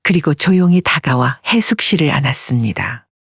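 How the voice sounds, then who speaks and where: A woman narrates a scripted family drama as an audiobook. Her voice is husky and mid-pitched. The recording is dry and slightly muffled. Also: expressive, normal speed, dry recording. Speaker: story narrator. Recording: dull lo-fi audio.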